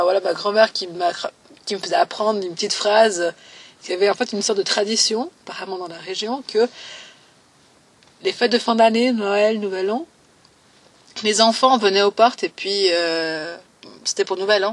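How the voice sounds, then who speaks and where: Woman in her 20s, bit husky, far from the mic, lots of euh and trailing off. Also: mid-range voice, moderate pace. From Valais, Switzerland. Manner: hesitant, many fillers, softly trailing off. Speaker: interviewee. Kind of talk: recorded personal testimony.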